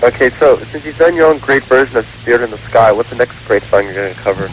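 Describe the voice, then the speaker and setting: Guy calling into radio, talks fast, casual, bit of noise. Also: mid-range voice, animated. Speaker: radio show caller. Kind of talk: casual chat. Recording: faintly noisy radio phone-in.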